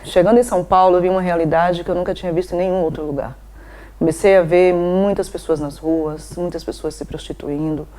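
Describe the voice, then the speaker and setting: A woman with a low, mellow voice answers an interviewer, earnest and devout. She keeps checking in with the listener as she talks. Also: steady tone, brisk. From Bahia, Brazil. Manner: devout, earnest, frequent "né?" tags. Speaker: NGO president.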